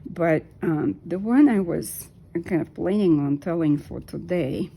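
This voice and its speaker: Low, gravelly-voiced woman in her fifties. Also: warm tone. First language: Russian. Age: 50s. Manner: unhurried, hesitant with many ums. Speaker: knitting vlogger. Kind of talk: casual talk while knitting.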